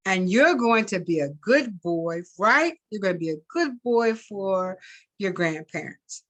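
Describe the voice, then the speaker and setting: A woman voicing a weary father in a story, reassuring his family in a gentle, hesitant, insistent way. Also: medium pitch, expressive, a little slow. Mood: gently reassuring.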